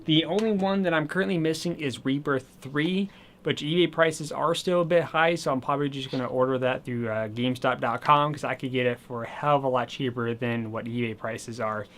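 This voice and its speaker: A man casually showing off his new video games and accessories, chatty and rambling, slightly hoarse as he says he is losing his voice. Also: mid-range voice, steady tone, fairly quick, fairly bright tone. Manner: chatty and rambling, with many fillers. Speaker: gaming channel host. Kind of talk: casual video game haul vlog.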